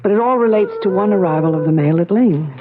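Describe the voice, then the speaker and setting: An actress playing an anxious, probing wife who recalls her past in a theatrical, deliberate old-time radio mystery style. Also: mid-range voice, close to an everyday voice. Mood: wistful, reflective. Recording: dull vintage radio recording with music.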